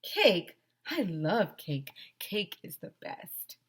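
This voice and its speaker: A woman's voice: on the low side, very animated, very slow, breathy edge, exaggerated voice. A grumbling young schoolboy from a storybook, read by a woman. He is blunt and envious, stressing his complaints. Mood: eager. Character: nine-year-old schoolboy narrator, grumbling, envious, blunt.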